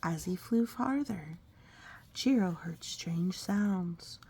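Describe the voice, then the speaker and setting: A woman reading a picture book aloud to a young child, expressive and coaxing, often dropping into a breathy whisper and adding chatty asides. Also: fairly low pitch, slow, slight background noise. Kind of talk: storybook read-aloud with conversational asides to a child.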